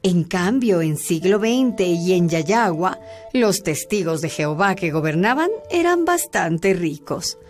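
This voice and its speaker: A woman narrating a radio drama in a calm, explanatory way. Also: on the low side, a little slow, soft background music.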